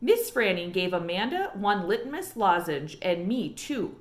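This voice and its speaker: A woman reading a children's book aloud in an expressive storytelling style. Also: medium pitch, measured pace, echoey room. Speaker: read-aloud reader.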